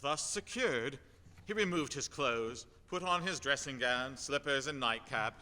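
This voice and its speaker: A man's voice: medium pitch, measured pace. A man narrating a radio play in a dramatic storytelling style. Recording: echoey hall under loud music.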